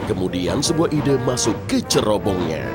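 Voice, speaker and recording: A man's voice: on the low side, sing-song, normal speed. A man narrating a scripted children's story. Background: music.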